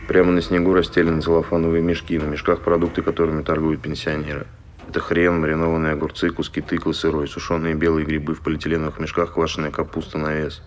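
Deadpan male narrator: very deep, monotone, scripted, faint ambient noise behind. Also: quick pace. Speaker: narrator in an audio play.

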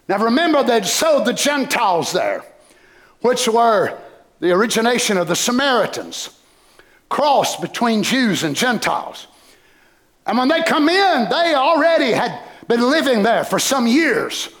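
An older man preaching a fervent sermon, breaking into shouted bursts and cries of hallelujah. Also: extremely high pitch.

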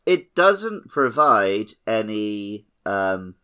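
A man's voice: lowish voice, very animated, drawn-out words, bright, forceful tone, clean tone. A man co-hosting a podcast, chatting casually about a video game. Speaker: podcast co-host.

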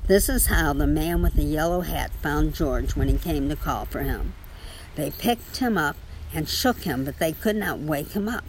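A woman's voice: low-pitched, animated, normal speed, slight background noise, rough recording. A woman reading a children's story aloud to a child, with casual asides.

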